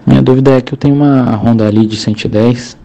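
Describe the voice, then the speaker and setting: A man's voice: mid-range voice, fairly even intonation, fast, vocal fry, warm tone, lo-fi sound. A man in his twenties from São Paulo with a Paulista accent, calling in politely with a hesitant, filler-heavy manner. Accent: Paulista Brazilian Portuguese. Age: 20s. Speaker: caller.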